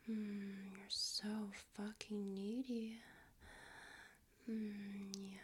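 A young man whispering softly into a close mic, his voice raspy and a little hoarse from a recent cold. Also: sits high. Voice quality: raspy, strained.

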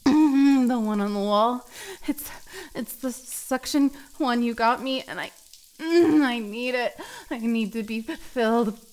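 Woman acting a high-voiced, pleading cat girl. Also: a little mellow, close to an everyday voice. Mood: desperate, overwhelmed.

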